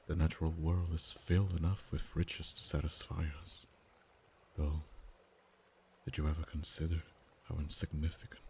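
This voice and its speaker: Ancient proud dragon played by a man: very low, flat, unhurried, soft whispers, faint hiss behind. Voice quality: very deep, soft-spoken. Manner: slow, measured, drops to whispers. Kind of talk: ASMR roleplay voice acting.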